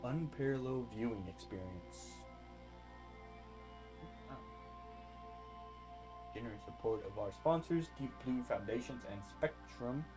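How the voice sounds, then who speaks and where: A man's voice: mid-range voice, measured pace, pauses often, over music, poor audio. A man hosting a casual reaction video, rambling and interrupting himself as he goes off on tangents.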